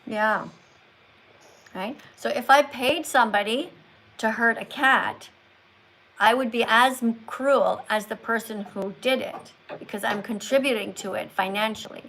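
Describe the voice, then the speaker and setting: A woman with a fairly high voice hosts a live call-in chat. She speaks like someone giving a lesson, in short emphatic statements, and presses with pointed questions. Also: unhurried, a bit bright, slight background noise, low-quality recording. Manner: probing, presses callers with follow-up questions. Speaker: livestream host.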